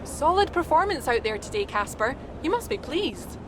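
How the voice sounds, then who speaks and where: An actress playing a poised, probing trackside TV reporter interviewing in a video game cutscene. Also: female voice, sits high, big pitch swings, moderate pace. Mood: professional, probing. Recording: outdoor paddock noise behind.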